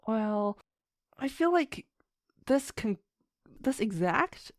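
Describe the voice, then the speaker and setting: Woman on a podcast just chatting, animated storyteller, really dry recording. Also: fairly high pitch, drawn-out words, no room sound. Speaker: podcast guest, fan musician and writer. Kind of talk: casual chat.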